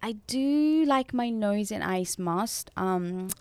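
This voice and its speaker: A woman's voice: mid-range voice, animated, takes it slowly, a little mellow, smooth, no room sound. A young woman from Nepal co-hosting a casual podcast, chatty and full of fillers and restarts. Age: young adult. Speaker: podcast co-host. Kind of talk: casual chat.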